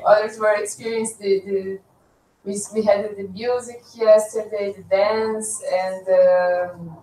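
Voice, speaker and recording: Woman host, halting speech, roomy hall, distant mic, faint hum. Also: medium pitch, warm tone. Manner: hesitant, many restarts. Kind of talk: casual group chat, moderating. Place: conference room.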